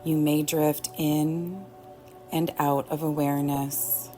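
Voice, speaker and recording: A woman teaching yoga nidra, guiding relaxation in a gentle, soothing way with long pauses. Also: low-pitched, flat delivery. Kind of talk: guided relaxation meditation. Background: soft background music.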